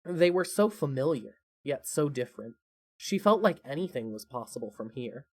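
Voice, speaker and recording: A narrator reading a scripted fan fiction podcast in an expressive, measured storytelling manner. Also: medium pitch.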